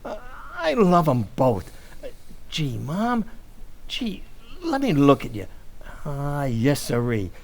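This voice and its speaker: A man acting a doltish, soft-hearted husband and doting son in an old-time radio comedy, gushing and excitable. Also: on the high side, takes it slowly, pauses often, heavily acted.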